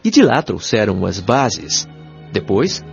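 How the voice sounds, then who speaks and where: A Brazilian man playing a kindly, patient spirit guide in an audio drama, explaining things in a measured, didactic, carefully enunciated way. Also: lowish voice, very animated, gravelly, exaggerated voice. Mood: explanatory. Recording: dull lo-fi audio, music behind.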